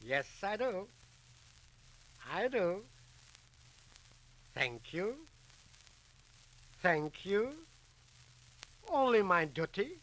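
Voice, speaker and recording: Middle-aged husband, self-important, hesitant and slow, vintage radio serial sound. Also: male voice, high voice, big pitch swings, fairly bright tone, full character voice. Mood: self-satisfied, modest. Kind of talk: 1940s radio acting. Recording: crackly 1940s radio recording.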